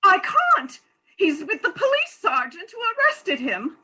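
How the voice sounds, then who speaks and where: Woman acting a high-pitched, distressed mother. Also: wildly swooping pitch, measured pace, sharp tone, exaggerated voice. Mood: pleading. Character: distressed unmarried mother, a nurse, pleading earnestly. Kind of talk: comic farce readers theatre.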